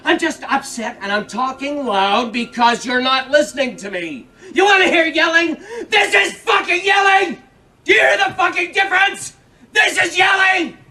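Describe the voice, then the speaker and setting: A man's voice: medium pitch, sing-song, normal speed, lightly acted. An actor playing an angry, foul-mouthed, defensive man who argues in exasperation, in a naturalistic drama. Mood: furious, loud. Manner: swearing, combative, exasperated. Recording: distant microphone.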